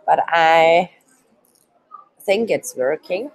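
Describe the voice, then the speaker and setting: A woman in her twenties presents a live online youth work course in careful, halting English, with many ums and pauses. Her voice sits low and unhurried. She is sick at the moment, and English is not her first language. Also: expressive, no room sound, low-quality recording. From Switzerland, Turkish roots. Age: 20s. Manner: hesitant non-native English, many ums.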